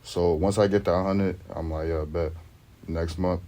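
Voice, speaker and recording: A man's voice: deep voice, fairly even intonation, measured pace, warm tone. A young man from Sweden, a rapper, chatting in a laid-back, slangy way as the interview guest. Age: young adult. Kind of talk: casual interview chat.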